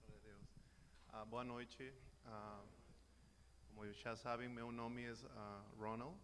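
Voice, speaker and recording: A man from Peru with a Peruvian Spanish accent, Spanish his first language, giving a halting personal testimony in church. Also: medium pitch, little pitch movement, fairly bright tone. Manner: halting, searching for words. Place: church hall. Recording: reverberant hall with faint noise.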